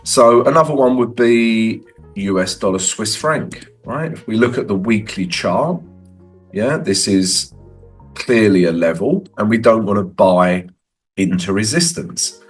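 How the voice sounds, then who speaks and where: A man's voice: on the low side, expressive, measured pace, faint music behind. A man with a cold walking through trading charts in a casual, conversational way, tagging lines with "right?". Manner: conversational, frequent "right?" tags. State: has a cold. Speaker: forex trading educator.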